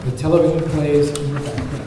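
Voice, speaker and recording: A man's voice: fairly high pitch, steady tone, a little fast. A man reading screenplay stage directions as narrator at a table read. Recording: very echoey hall, distant mic, faint noise.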